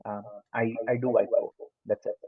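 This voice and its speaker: A man's voice: fairly low pitch, flat delivery, normal speed, slightly rough, recorded from a distance. A man with an Indian accent giving an online class, hesitant with many fillers and restarts. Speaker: lecturer. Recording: phone-like muffled line from afar.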